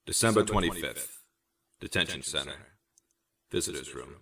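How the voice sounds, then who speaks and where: A man's voice: rumbling low, expressive, normal speed, pushed and bright, distant mic, slight background noise, heavily acted. A man narrating scripted scene-setting announcements for an audio drama.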